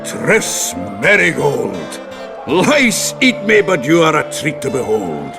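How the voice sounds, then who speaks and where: An actor playing a gruff, bawdy dwarf in a video game, gravelly and blustery. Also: male voice, a little high, huge pitch swings, takes it slowly, music in the background, heavily acted. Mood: hearty. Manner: blustery, earthy, colourful speech. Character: gruff, hearty, bawdy male dwarf drinking companion.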